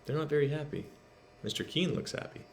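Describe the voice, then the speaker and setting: A man reading a storybook aloud to children, expressive, with chatty asides and questions to the listeners. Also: on the high side, a little fast, vocal fry, a little hiss or hum. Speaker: storybook reader.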